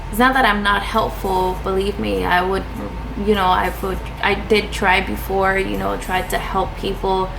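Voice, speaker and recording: A woman's voice: medium pitch, flat delivery, moderate pace, vocal fry, few pauses, over music, poor audio. A young woman from Chile talking hesitantly about her personal life in a vlog, with lots of fillers and restarts. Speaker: vlogger.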